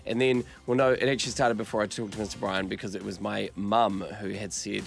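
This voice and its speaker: Casting: man doing a pompous teen activist, New Zealand accent, gravelly and low, put-upon sighs. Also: flat delivery, a little fast, faint music behind. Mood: rambling. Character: pompous teenage boy activist, theatrical and exasperated. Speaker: radio host in a skit.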